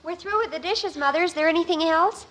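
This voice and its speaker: Pert, bright-voiced teenage daughter. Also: female voice, extremely high pitch, fast talker. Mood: pert, impatient. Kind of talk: 1950s radio sitcom acting.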